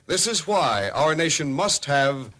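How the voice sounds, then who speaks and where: A man announcing a mid-century radio public service programme in a formal, grave and deliberate manner. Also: fairly high pitch, sing-song, noisy background.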